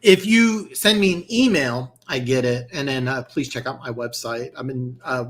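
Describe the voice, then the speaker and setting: A man's voice: mid-range voice, normal speed, a bit bright. A man hosting a live football picks stream in a casual, rambling way, losing his voice. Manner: rambling, many restarts and fillers. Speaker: host of a football picks show.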